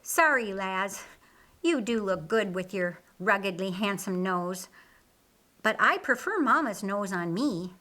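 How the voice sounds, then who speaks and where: A woman voicing a warmhearted, outspoken grown sister in an audiobook, with a blunt, exclamatory manner. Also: medium pitch, expressive, measured pace. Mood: teasing, tender. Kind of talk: audiobook character dialogue.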